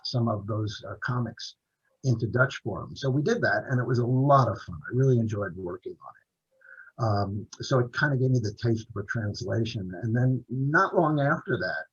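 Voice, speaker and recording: A man's voice: mid-range voice, moderate pace, slightly rough, dull audio. A man, an author, telling long stories in an interview over a video call, rambling with restarts and fillers. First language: English. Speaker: author and translator, interview guest.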